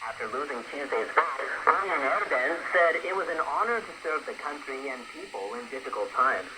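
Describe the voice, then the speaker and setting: A man reading scripted international news as an anchor, in a measured, formal and clearly enunciated delivery. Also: fairly high pitch, animated. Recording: shortwave radio broadcast with loud static noise.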